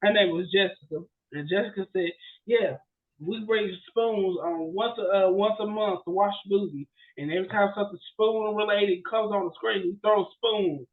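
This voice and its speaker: A man with a notably high voice chats casually as a podcast co-host. He rants and tells stories in slangy, exclamatory bursts. The recording is a bit dull and lo-fi. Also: moderate pace. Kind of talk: casual chat, storytelling and ranting.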